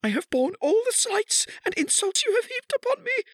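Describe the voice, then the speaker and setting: A man's voice: very high voice, big pitch swings, moderate pace, no room sound, pitched above the performer's own voice. A man voicing a frail, desperate middle-aged lady with a faint, beseeching manner in a dramatic audiobook. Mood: desperate, tearful. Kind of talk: dramatic audiobook character reading.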